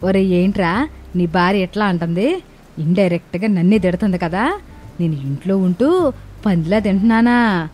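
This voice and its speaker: A woman's voice: mid-range voice, lively intonation, moderate pace, long pauses, darkish tone, a little hiss or hum, close to an everyday voice. A woman voicing a scolding, dramatic old grandmother who grumbles and complains in a family story. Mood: offended, grumbling.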